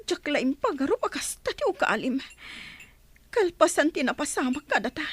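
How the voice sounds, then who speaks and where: A woman's voice: sits high, very animated, moderate pace. An actress playing a jilted young girlfriend in a radio drama, wounded, reproachful and pleading. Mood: anguished, reproachful. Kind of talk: emotional radio drama acting.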